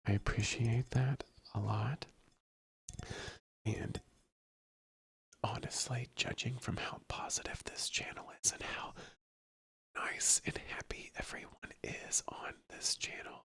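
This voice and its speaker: An ASMR streamer chatting casually in a hushed, breathy whisper that slips into a soft murmur, rambling and repeating phrases. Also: medium pitch, steady tone, slow pace, long pauses. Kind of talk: ASMR, casual live chat.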